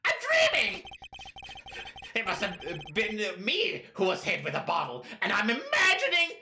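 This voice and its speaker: A woman voicing a frantic male prosecutor who bursts into shrill, panicky outbursts. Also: wildly swooping pitch, normal speed, gravelly, roomy sound, noisy background.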